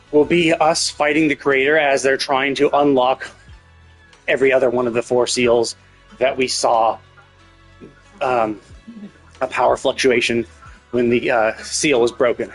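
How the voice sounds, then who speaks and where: A man's voice: mid-range voice, rough recording. Rambling man livestreamer over background music. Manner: rambling, thinking aloud, repeats words. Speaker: game livestreamer. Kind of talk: casual livestream commentary, speculating.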